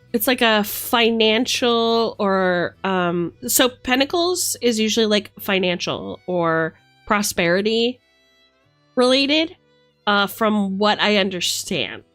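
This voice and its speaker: A woman's voice: medium pitch, lively intonation, takes it slowly, fairly bright tone. Female livestreamer, mid-range voice, casual and playful, fond asides, thinks aloud during card readings, music underneath. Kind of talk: casual livestream chat while reading tarot cards.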